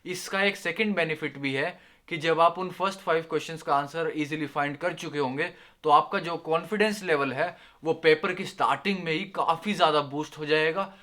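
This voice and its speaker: A man's voice: on the high side, normal speed, sharp tone. A young man giving casual spoken exam tips to his viewers in a friendly, chatty way, often dropping English phrases into his talk. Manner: friendly, addresses viewers as "yaar" and "doston", mixes English phrases.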